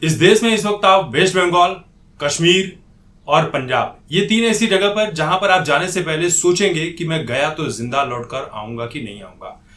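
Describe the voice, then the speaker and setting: A man's voice: fairly high pitch, moderate pace, fairly bright tone. A man giving an opinionated political commentary monologue in an indignant, accusatory manner. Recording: echoey room.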